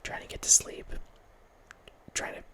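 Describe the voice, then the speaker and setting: A man in his thirties hosts his ASMR channel in a soft unvoiced whisper, close on a studio mic. He rambles loosely, restarting phrases and slipping in quiet laughs. The sound is intimate, from a small room at home. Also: unhurried. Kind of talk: whispered ASMR ramble about a horror movie. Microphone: large studio mic close in front, with headphones.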